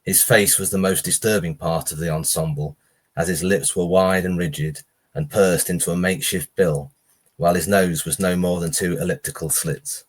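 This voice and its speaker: A man's voice: low voice, steady tone, moderate pace, slightly rough. A man who is an author, giving a live reading of his own pulpy horror story.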